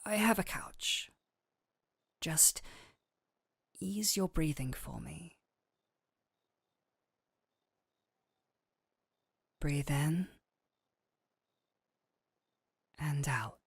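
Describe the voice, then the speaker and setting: Casting: actress as caring fantasy healer woman for roleplay audio; lowish voice, soothing yet firm, very close dry sound. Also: expressive, breathy edge, dry recording, exaggerated voice. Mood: soothing, hushed. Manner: soft, soothing, unhurried, earnest. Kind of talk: intimate scripted roleplay audio addressed to the listener.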